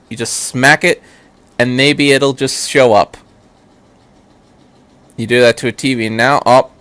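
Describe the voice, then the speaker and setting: A man's voice: mid-range voice, a bit bright, dry recording. A man reading fan fiction aloud as an amateur narrator of a scripted story.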